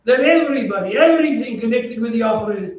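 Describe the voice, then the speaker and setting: A man's voice: very high voice, lively intonation, quick pace. A man playing a timid, anxious aging violinist in a radio drama, hesitant, deferential and apologetic in his speech. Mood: nervous, deferential. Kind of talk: old-time radio drama acting. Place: theatre stage, live reading. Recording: echoey stage, distant mic, muffled, hiss.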